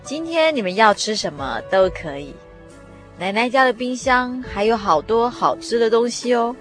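A woman's voice: a little high, lively intonation, normal speed, soft background music, close to an everyday voice. An actress playing an affectionate, indulgent grandmother in a radio drama. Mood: hospitable. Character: kindly, doting, devout grandmother at New Year.

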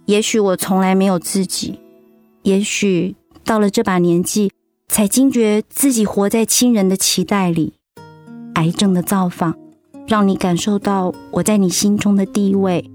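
A woman acting a tender, sincere middle-aged wife and friend in a radio drama, speaking softly and at a measured pace of thought. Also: mid-range voice, lightly acted. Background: background music.

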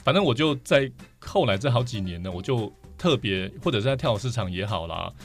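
A man's voice: lowish voice, expressive, fairly quick, faint music behind. A man with a Taiwanese Mandarin accent, talking and explaining at length as a guest in a casual podcast interview. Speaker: podcast guest, vinyl record collector.

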